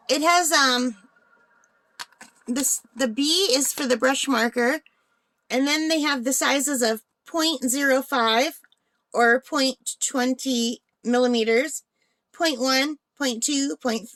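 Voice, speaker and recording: A woman's voice: sits high, slow, a bit bright, dry recording. A woman hosting a craft unboxing vlog in a casual, chatty way, thinking aloud, with a slightly tired, under-the-weather voice. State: slightly unwell, voice tiring. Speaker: craft channel host.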